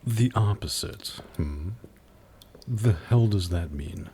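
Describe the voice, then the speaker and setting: A man playing a suave, haughty demon buyer in an ASMR roleplay, speaking in a low, husky, gravelly voice with teasing, sardonic pauses. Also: expressive, dark tone, slight background noise. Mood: haughty, wry. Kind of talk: ASMR roleplay audio drama.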